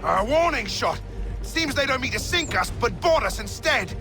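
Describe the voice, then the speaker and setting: An actor playing a stern, scornful ship's captain in a video game, brusque, barking and authoritative. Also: male voice, extremely high pitch, very animated, moderate pace, music in the background, full character voice. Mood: urgent, shouting. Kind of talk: video game cutscene acting.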